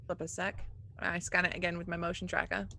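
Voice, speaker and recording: A woman's voice: fairly low pitch, level tone, brisk, gravelly, pushed and bright, slight background noise. A woman playing a tabletop roleplaying game, chatting casually and stating her character's actions.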